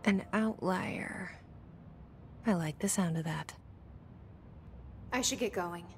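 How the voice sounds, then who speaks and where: A woman's voice: on the low side, slow pace, a little hiss or hum. An actress playing a determined, guarded young woman in a video game, speaking tersely and directly. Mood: curious, guarded. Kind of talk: video game dialogue acting.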